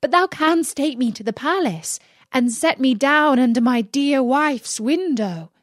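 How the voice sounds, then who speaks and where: Earnest young storybook hero, played by a woman, courtly, pleading, unhurried. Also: sits high, lively intonation, no echo, clean studio sound, voice pushed up.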